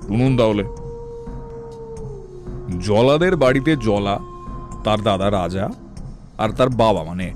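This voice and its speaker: A man's voice: on the low side, a little mellow. A man narrating a dramatised cartoon story as its storyteller. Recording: faint music behind the voice.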